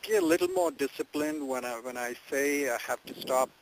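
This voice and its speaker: A man's voice: mid-range voice, huge pitch swings, a little fast, vocal fry. Man on a conference call w some line noise, hesitant, repeats words while answering his coach. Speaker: coaching client, a working parent. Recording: noisy phone conference line with clicks.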